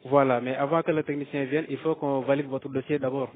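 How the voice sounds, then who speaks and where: A man's voice: medium pitch, level tone, brisk. A male telemarketer on a sales phone call, speaking in a polite, procedural way. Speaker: telemarketer booking energy renovation appointments. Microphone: telephone call. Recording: dull lo-fi phone call audio.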